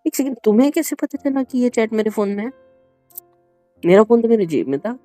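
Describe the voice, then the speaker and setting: Animated, mellow-voiced man arguing casually. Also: very high-pitched, fairly quick, soft background music. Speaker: husband in a prank video. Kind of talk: casual domestic argument.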